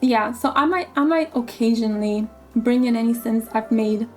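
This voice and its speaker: A woman who is losing her voice, talking casually about her game plans in a halting way, repeating words and filling pauses with um. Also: fairly high pitch, fairly even intonation, soft background music. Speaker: gaming YouTuber. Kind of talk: casual gameplay commentary.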